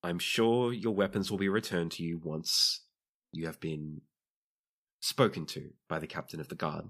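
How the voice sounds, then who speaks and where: A man's voice: deep voice, steady tone, moderate pace, fairly bright tone. A man playing a dutiful, patient hospital attendant who speaks formally and politely in a tabletop game.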